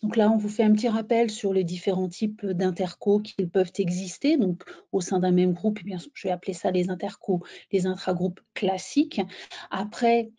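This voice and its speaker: Woman, webinar presenter, breathy husky edge, medium pitch, explanatory, halting phrasing. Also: steady tone, mellow. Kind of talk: software webinar presentation over slides.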